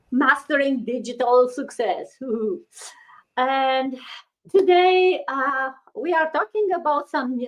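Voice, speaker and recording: A woman in middle age hosts a live online panel from her video call. Her voice sits a touch high, and she pauses often to find the next word. The audio is a bit dull and lo-fi. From Romania. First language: Romanian. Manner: halting, searching for words. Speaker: host.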